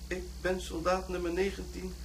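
Young captured soldier in a radio play, high voice, robotic defiant reciting, bit of noise. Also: male voice, monotone, normal speed, gravelly, poor audio. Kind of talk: radio drama acting.

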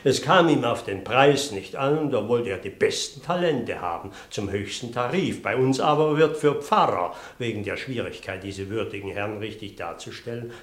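A man playing a smooth-talking professional impostor posing as a dignified parson in a radio play, polite and evasive. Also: medium pitch, roomy sound, lightly acted. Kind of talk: German radio play acting.

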